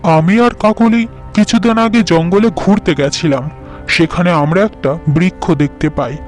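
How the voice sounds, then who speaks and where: Guy doing a sly cartoon uncle talking someone into things, fairly high male voice, music behind. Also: unhurried. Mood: coaxing. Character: scheming uncle persuading his elder brother with tales. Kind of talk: Bengali fairy-tale cartoon dialogue.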